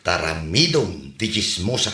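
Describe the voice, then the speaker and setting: A man's voice: deep voice, sing-song, measured pace, sharp tone, heavily acted. Man playing nosy ilocano filipino neighbor guy, wry, swooping delivery, echoey. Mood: wry, mocking. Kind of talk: Ilocano comedy radio-drama acting.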